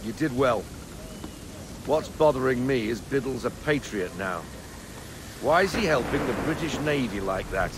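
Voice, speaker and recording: An actor playing a seasoned, protective middle-aged first mate with a plain-spoken, salty manner. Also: male voice, medium pitch, expressive, normal speed, pauses often, noisy background, close to an everyday voice. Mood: puzzled. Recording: rain and thunder storm behind.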